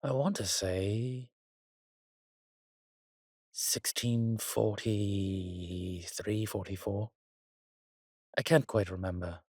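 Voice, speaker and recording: Man acting a slow, husky, roguish old vampire. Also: on the low side, steady tone, darkish tone, no room sound, studio quality, heavily acted. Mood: wry, weary. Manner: theatrical, archaic turns of phrase, teasing. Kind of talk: intimate roleplay audio drama.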